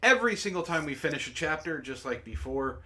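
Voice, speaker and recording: High-pitched man hosting a casual stream. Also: expressive, fairly quick, sharp tone. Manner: informal, profane banter. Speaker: host. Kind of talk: casual group livestream chat.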